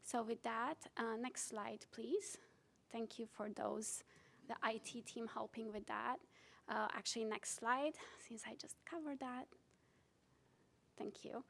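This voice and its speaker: A woman in her forties from Romania presents to a city council in an even, steady tone that barely rises or falls. She speaks earnestly and pauses often on "uh" as she goes. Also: mid-range voice. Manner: earnest, many "uh" fillers. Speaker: county director presenting to council.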